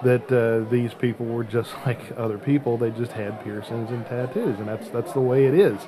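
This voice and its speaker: A middle-aged man hosting a podcast in casual chat, often halting as he searches for words. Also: medium pitch, steady tone, crowd noise. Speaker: podcast host.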